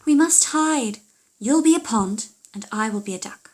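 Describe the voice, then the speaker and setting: A woman's voice: on the high side, expressive, moderate pace, darkish tone. A woman voicing a brave, resourceful young storybook heroine who gives decisive, urgent instructions.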